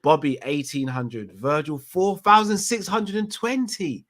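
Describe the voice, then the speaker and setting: A man's voice: on the high side, measured pace, fairly bright tone. A man hosting a live call-in chat, reading out viewer messages and laughing often. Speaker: fan channel host.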